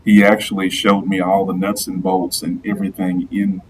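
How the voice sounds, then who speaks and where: A man from Georgia with a deep baritone voice, telling his story as a guest in a casual interview with clear announcer diction. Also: flat delivery, normal speed, distant mic, noisy background. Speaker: guest, live streamer and football PA announcer.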